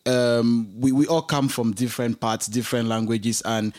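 A man from Cameroon talking on the radio in an earnest, halting way, often repeating words. His voice sits at a middle pitch. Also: steady tone, darkish tone. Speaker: radio co-presenter. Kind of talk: casual radio chat.

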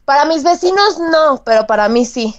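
A woman's voice: high voice, lively intonation, normal speed, a crowd in the background. A woman telling a casual personal story as a podcast panelist. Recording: slightly dull, lo-fi audio.

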